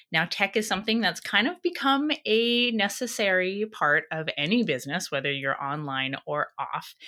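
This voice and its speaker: Woman, podcast host, enthusiastic, lively intonation, somewhat low voice. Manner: upbeat, enthusiastic. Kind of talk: podcast intro and interview.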